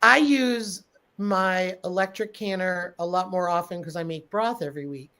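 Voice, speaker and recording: A woman's voice: lowish voice, moderate pace, bright, forceful tone. A snuffly woman hosting a casual livestream, greeting viewers by name and giving practical homestead advice. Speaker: host.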